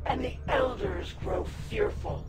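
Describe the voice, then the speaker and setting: A man voicing a menacing, grandiose alien zealot in a video game, declaiming slowly in a gravelly voice. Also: very high voice, expressive, full character voice. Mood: menacing, triumphant. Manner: slow, declamatory. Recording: very loud music over the voice.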